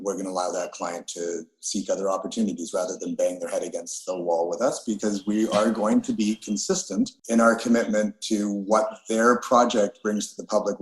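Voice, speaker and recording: Low-voiced earnest male architect, roomy sound. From Canada. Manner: earnest, deliberate, principled. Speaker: architect, firm co-founder. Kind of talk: podcast interview answer. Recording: roomy echo.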